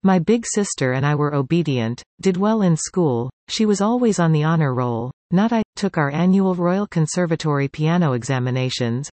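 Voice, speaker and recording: A woman in her forties from Canada reading a personal essay aloud, with careful, clearly enunciated speech. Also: sits low, a little mellow, no echo. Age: 40s.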